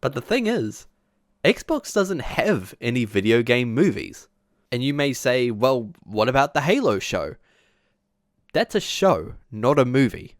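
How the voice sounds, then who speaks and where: A man's voice: mid-range voice, no echo, clean studio sound. A man presenting an opinionated video essay in a casual way, partly scripted, as the host. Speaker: YouTube host.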